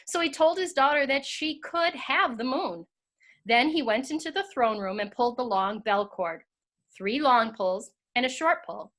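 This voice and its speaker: Higher-voiced American woman reading a story. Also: animated, normal speed, a bit bright. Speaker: host and narrator, school teacher. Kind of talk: storybook narration and introduction.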